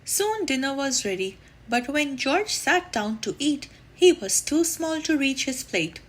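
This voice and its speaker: A woman reading a children's story aloud, deliberately and expressively, with drawn-out emphasis. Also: high-pitched, sing-song.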